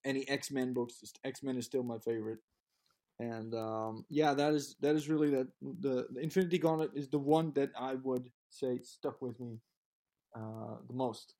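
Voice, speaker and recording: A man from Europe, a guest recalling comics in a halting way with many restarts, laughing easily. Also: mid-range voice, fairly even intonation, warm tone. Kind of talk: casual interview chat.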